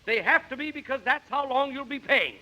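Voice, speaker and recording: An actor playing a cheerfully brazen clothing pitchman, with emphatic sales patter in a parody 1950s commercial. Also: animated, a little fast, very noisy. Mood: brazenly salesy.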